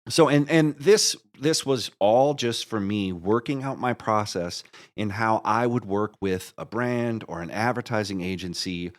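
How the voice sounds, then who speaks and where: Low-voiced man, earnest podcast guest. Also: unhurried. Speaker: podcast guest, musical creator. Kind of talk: conversational interview answers.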